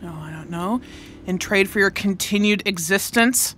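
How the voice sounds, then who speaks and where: A woman's voice: medium pitch, normal speed, a bit bright, slight background noise, close to an everyday voice. An actress playing a blunt, menacing hard-boiled female federal agent in an audio drama. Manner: blunt, tough, dismissive. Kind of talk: scripted audio drama acting.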